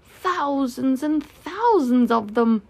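A woman's voice: on the high side, sing-song, a little slow, gravelly, heavily acted. A woman voicing a simple, earnest male toy bear in a storybook, with a growly, plaintive manner. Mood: excited. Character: slow-witted, earnest, honey-loving male toy bear.

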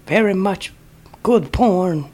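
A man voicing the ghost housekeeper's sister, an old woman who speaks slow, broken English and gives deadpan sayings. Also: high voice, background noise. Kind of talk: comedic character bit on a podcast.

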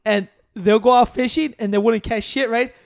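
A man chatting as a podcast co-host, telling stories in a relaxed, profane way with Spanish words mixed in. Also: very high voice, moderate pace, vocal fry, no room sound, studio quality. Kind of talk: casual chat.

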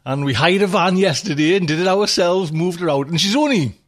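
A man's voice: sits high, expressive, brisk. Chatty, rambling man. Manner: rambling, chatty, laughs often, swears. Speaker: podcast host. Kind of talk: casual chat.

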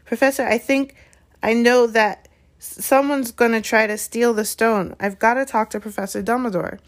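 An earnest, headstrong storybook schoolboy, as a woman reads him. He talks in an urgent, insistent way, a touch louder and higher, pressing his point. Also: steady tone, normal speed, natural voice.

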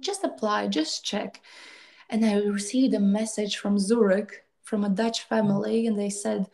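A young woman from Latvia, telling her life story as a guest in a casual chat and acting out the voices she quotes. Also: mid-range voice. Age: young adult. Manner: quick, animated, acts out quoted dialogue.

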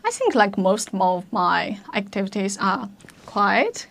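Slow, halting young woman, Chinese native speaker. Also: medium pitch, sing-song, gravelly, a bit bright. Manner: halting, repeats words, searches for English. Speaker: guest. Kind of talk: casual interview chat.

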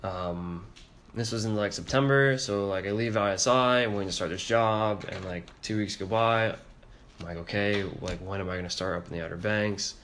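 A soft-spoken, introverted man telling a story in a casual podcast chat, hesitant, with many fillers. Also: on the low side, moderate pace, slight background noise. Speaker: podcast co-host.

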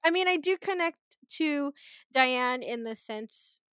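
A woman chats casually about a film with a co-host, animated and quick to laugh, often restarting her sentences. Her voice sits fairly high. The sound is dry, with no room echo. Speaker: podcast co-host. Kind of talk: casual movie review chat.

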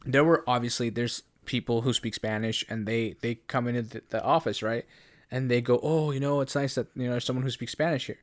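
A man's voice: mid-range voice, steady tone, fairly quick. A young Canadian man chatting on a podcast. He rambles, restarts his sentences, and laughs often while telling a story into a headphone mic. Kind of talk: casual chat. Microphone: headphones and studio mic.

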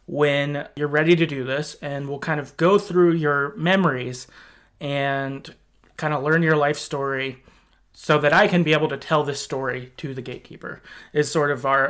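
A man's voice: fairly high pitch, a bit bright. A man hosting a podcast, recapping a story in a casual, rambling way. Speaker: podcast host.

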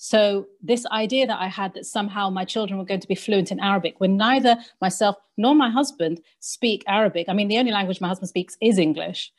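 Thoughtful woman speaking in measured tones. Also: medium pitch. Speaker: panel guest. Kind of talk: reflective conversational discussion.

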